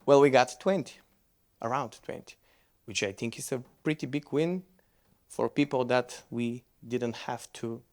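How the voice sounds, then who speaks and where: Male presenter, English, relaxed, conversational, stumbles and restarts mid-sentence. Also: mid-range voice, unhurried. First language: Bulgarian. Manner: loose, conversational, with frequent restarts. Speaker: conference speaker. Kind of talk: conference presentation with Q&A.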